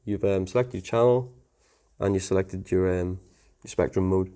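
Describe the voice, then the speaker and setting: A man's voice: low voice, fairly even intonation, moderate pace, warm tone. A man giving a casual technical tutorial in an informal, plain-spoken, conversational way. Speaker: technical presenter explaining network setup.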